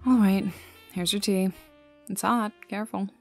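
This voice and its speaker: A woman's voice: mid-range voice, fairly even intonation, measured pace, a little mellow, natural voice. A woman playing a sarcastic female private detective in a noir ASMR roleplay, with a dry, casually streetwise delivery. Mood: casual, dryly hospitable. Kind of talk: noir ASMR audio roleplay, intimate second-person acting. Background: music, rain and city ambience.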